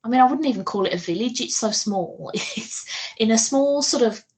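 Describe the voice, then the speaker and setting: A woman's voice: fairly high pitch, expressive, normal speed, darkish tone. A woman chatting casually as a podcast co-host, giggly and talkative, with a loose, sweary way of talking.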